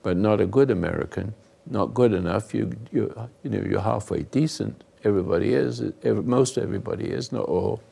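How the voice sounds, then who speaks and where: Older guy, husky low voice, preachy rambling talk to a group. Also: a little fast, hardly any pauses. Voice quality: gravelly, husky. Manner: rambling, earnest lecturing, drifting into hushed asides. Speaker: host and spiritual counselor. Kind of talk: conversational moral teaching to a live group.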